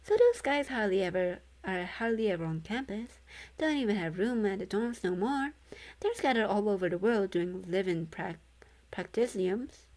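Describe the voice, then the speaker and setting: A woman's voice: mid-range voice, animated, normal speed, darkish tone, close to an everyday voice. A woman voicing a friendly, chatty ghost with folksy, loose-grammared speech in a storybook read-along. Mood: folksy, wistful.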